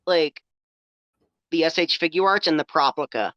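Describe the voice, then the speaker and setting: A man's voice: fairly high pitch, a little slow, bright, forceful tone, no room sound, dull audio. A teenage boy, a guest in a casual podcast chat, speaking in a matter-of-fact, knowledgeable way. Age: teens.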